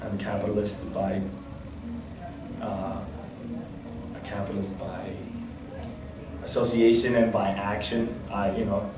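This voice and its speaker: A man from the United States giving thoughtful, halting interview answers, often repeating himself as he searches for words. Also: on the low side, completely flat delivery. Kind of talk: casual interview testimony. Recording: echoey, distant mic, faint noise.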